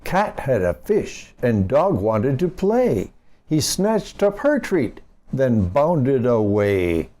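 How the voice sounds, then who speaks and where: A man with a mellow voice reads a children's rhyming storybook. His pitch swings widely as he goes, animated and aimed at little listeners. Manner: unhurried, animated, addressing young listeners. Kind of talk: expressive read-aloud of a rhyming picture book.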